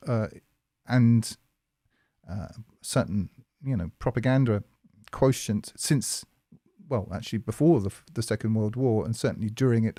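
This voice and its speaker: A man delivers a thoughtful solo commentary, pausing often with "um" and "uh" and circling back to rephrase. His voice is warm and relaxed in tone, sitting at a middling pitch. The sound is dry and close, with no room around it. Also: no echo. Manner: measured, hesitant, many fillers and restarts. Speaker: commentary channel host. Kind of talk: spoken commentary monologue.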